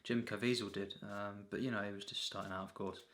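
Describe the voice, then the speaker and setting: A man giving an unscripted, rambling film review as a reviewer, in a halting, repetitive way full of filler phrases. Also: fairly low pitch, level tone, a bit bright.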